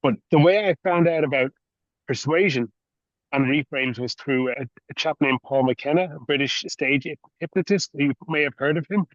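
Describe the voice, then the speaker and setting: A man's voice: a little high, moderate pace, no echo, muffled recording. A man from Ireland with an Irish accent, hosting an interview in a conversational style. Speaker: podcast interviewer.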